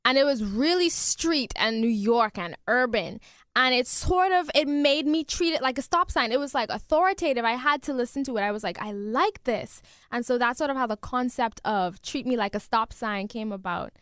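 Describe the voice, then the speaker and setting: A fifteen-year-old girl from the Bahamas talks in a bubbly, giggly way. Her voice sits a bit high and sounds smooth. It is recorded dry and close in a studio. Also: expressive, moderate pace, fairly bright tone, no echo. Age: teenager, 15. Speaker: guest singer. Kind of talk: casual interview. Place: radio studio.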